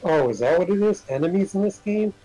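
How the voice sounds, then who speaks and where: Male co-commentator, husky and mellow, casual game-tip chat with some music behind. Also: high voice, lively intonation, fast, rough recording. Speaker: stream co-commentator.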